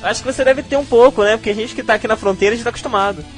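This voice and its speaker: This is a man with a high voice who rattles through his words at a fast clip. He reads listener mail aloud and jokes and teases in loose podcast banter, with soft music running behind him. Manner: joking, teasing, quick to laugh. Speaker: podcast co-host reading listener emails.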